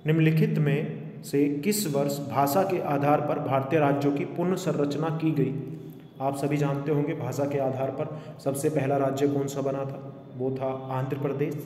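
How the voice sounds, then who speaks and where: A man's voice: medium pitch, fairly even intonation, normal speed, warm tone. A man teaching a quiz lesson to online viewers, repeating questions instructively and switching into English phrases. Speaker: online exam coaching teacher. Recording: echoey reverberant room with faint noise.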